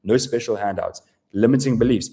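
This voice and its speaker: A man's voice: medium pitch, brisk, warm tone. A man with a South African accent presents a live sales webinar in a casual, profane, filler-heavy style.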